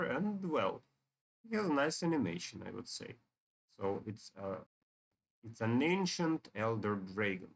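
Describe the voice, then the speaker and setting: A man talks through a video game in a casual way. His pace is very slow and his phrasing halts a little, as a commentator thinking while he plays. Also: mid-range voice. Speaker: gaming video narrator. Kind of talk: casual game commentary reading dialogue aloud.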